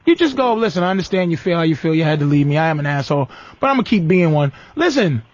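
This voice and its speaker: A man's voice: fairly high pitch, sing-song, fast, no echo, muffled recording. An American man hosting a comedic advice show with callers, talking bluntly and profanely with a teasing edge. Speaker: talk show host.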